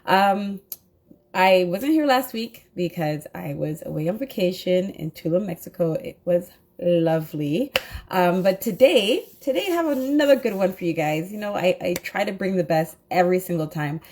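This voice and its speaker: A woman's voice: on the low side, lively intonation, normal speed, a little mellow. Woman host w a stuffy cold voice, chatty, lots of ums, on a video call. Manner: chatty, filler-heavy. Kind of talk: casual live-stream chat.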